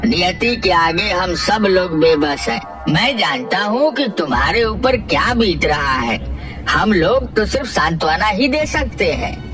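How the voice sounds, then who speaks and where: Grave village elder for a cartoon, female performer, very slow and lowish, music underneath. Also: big pitch swings, far from the mic. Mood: sympathetic. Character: solemn village elder telling of a haunted temple.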